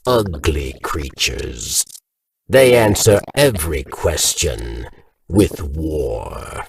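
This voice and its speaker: A man voicing a brooding, contemptuous alien game character with a gravelly voice and a slow, deliberate delivery. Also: rumbling low, distant mic, slight background noise, heavily acted. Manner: slow, deliberate, disdainful pauses. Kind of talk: video game character monologue.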